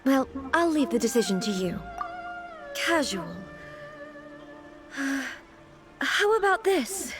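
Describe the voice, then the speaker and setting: A woman playing a dignified, earnest, naively puzzled ruler in a video game, speaking in a formal, deliberate way. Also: high voice, sing-song, heavily acted. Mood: self-conscious. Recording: music playing behind the voice.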